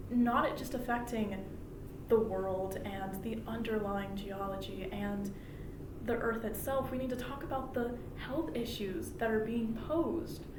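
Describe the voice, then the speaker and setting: A woman delivering a prepared persuasive public speech in an earnest, explanatory way, as a student speaker. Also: on the high side. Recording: echoey room from far off, clearly noisy.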